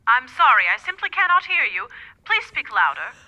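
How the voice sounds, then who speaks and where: Sharp-toned telephone operator. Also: female voice, high voice, sing-song, normal speed, no echo, full character voice. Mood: impersonal, formal. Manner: formal, clipped, detached. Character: brisk, impersonal female telephone operator. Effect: telephone filter. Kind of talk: radio drama acting. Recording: distant, narrow telephone line sound.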